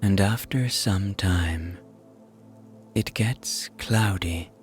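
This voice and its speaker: A man narrating a scripted guided meditation in a soothing, hushed, gentle manner. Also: low-pitched, very slow, soft background music.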